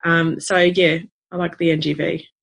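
Relaxed Australian woman podcast guest. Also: fairly low pitch, moderate pace, gravelly, slightly rough. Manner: relaxed, easygoing, many fillers. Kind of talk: casual conversation.

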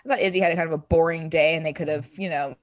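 Young woman podcast cohost, booming voice, lots of like and um, pretty low pitch. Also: steady tone, very fast, dry recording. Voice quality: booming voice that projects. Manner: chatty, full of fillers like "like" and "um". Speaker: podcast co-host. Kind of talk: casual chat recapping a TV episode.

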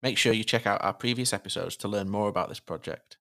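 A man's voice: fairly low pitch, fast, creaky, a bit bright. A man hosting a podcast, asking questions hesitantly with many fillers. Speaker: podcast host.

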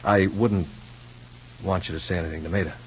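A dull old radio recording with a faint hum holds an actor as a worried, earnest brother. His voice is low and he feels his way through sentences haltingly, in sincere soap opera style. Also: fairly even intonation, close to an everyday voice. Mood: hesitant, uneasy. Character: earnest, worried, hesitant brother, a decent ordinary fellow.

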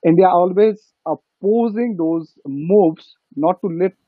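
Over a thin, phone-like connection with a dry sound, a high-voiced man with a Nepali accent talks business. His delivery is halting, and he repeats phrases to drive them home. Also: husky, dry recording. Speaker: business guest. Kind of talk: informal business discussion. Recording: thin phone-like call audio.

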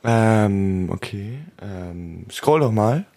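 Slow, laid-back man teasing. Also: on the low side, expressive, gravelly, a little mellow. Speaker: podcast co-host. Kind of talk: casual joking chat.

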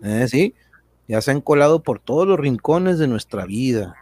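A man's voice: mid-range voice, expressive, moderate pace, darkish tone, close to an everyday voice. A man playing a folksy, indignant explainer, full of colloquial tags and rhetorical questions in a radio drama. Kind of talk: educational radio drama dialogue.

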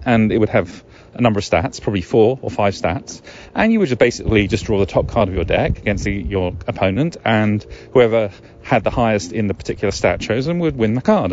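Podcast host, male, middle-aged. Low register, hesitant musing with wry asides, slightly dull recording. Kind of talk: musing solo podcast monologue. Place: outdoors in the woods. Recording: dull lo-fi recording, ambient noise.

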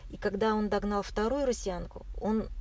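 A woman recounting her experiences as an interview guest in casual, halting speech, often searching for words. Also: medium pitch, darkish tone. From Russia. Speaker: interview guest, marathon runner. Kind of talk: casual spoken recollection. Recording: clear background noise.

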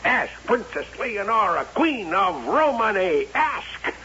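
An actor playing an excitable, fast-talking carnival showman with a theatrical barker's delivery in an old-time radio drama. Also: high voice, exaggerated voice. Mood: grand spieling. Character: excitable, fast-talking carnival showman obsessed with his fortune-telling dummy. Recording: muffled, hissy old radio broadcast.

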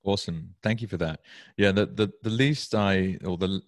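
A man's voice: sits low, moderate pace, no echo, clean studio sound. A man from the UK, in his thirties, hosting a podcast interview in a casual, rambling way with frequent restarts. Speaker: podcast host.

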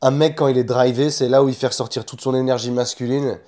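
A young man talks in a medium-pitched voice. His style is casual chat, informal and opinionated, as one side of a relaxed conversation. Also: fast.

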